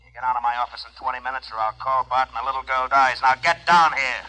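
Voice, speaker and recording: A man's voice: medium pitch, fast, pushed and bright, low-quality recording. A man playing a wheedling, menacing racket boss in an old radio crime drama, talking slangily with an American accent. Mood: threatening. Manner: slangy, coaxing, threatening. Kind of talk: 1940s-50s radio crime drama acting.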